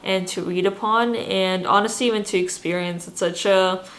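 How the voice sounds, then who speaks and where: A woman's voice: mid-range voice, steady tone, moderate pace, a bit bright. Chatty young woman from Azerbaijan. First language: Azerbaijani, first language Russian. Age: 20s. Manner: chatty, frequent "like" fillers, reflective. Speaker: vlogger. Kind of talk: casual vlog chat.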